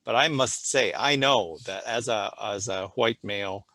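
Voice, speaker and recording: Gravelly older man, low and halting. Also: bright, forceful tone, no room sound. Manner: thoughtful, halting, many restarts. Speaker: podcast host. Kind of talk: casual group conversation.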